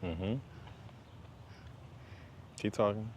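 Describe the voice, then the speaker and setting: Low-voiced man over background noise. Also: fairly even intonation, very slow, darkish tone. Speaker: conversation partner asking questions. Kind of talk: casual chat.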